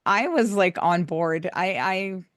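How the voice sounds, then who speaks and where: A woman hosting a podcast in casual chat, her voice raspy and hoarse from laryngitis, chatty and laughing often. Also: on the low side, takes it slowly, no echo, clean studio sound. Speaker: podcast host.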